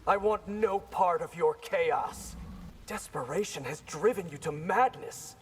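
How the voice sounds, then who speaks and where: A man's voice: on the high side, unhurried, slight background noise, natural voice. A man voicing an earnest, defiant young ninja in a video game, with a challenging delivery. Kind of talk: video game character dialogue.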